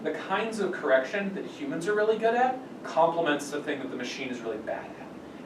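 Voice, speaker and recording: A man giving an informal technical explanation to a small group as a workshop presenter, often restarting and repeating his phrases. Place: small indoor meeting room. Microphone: far camera microphone. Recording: distant mic in echoey room, rumbly noise.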